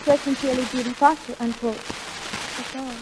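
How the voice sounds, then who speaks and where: An actress playing an excitable, dreamy teenage schoolgirl in a 1940s radio comedy, earnest and given to stilted formal phrases, on an old hissy recording. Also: high voice, a little fast, natural voice. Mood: reluctant. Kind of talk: 1940s radio sitcom acting.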